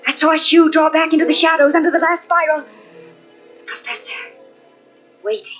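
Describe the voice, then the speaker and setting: High, frantic, terrified young woman. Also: a bit bright. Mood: hushed dread. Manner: breathless, urgent, clipped repeated phrases. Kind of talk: old-time radio suspense drama acting. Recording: muffled old radio tape, faint music behind.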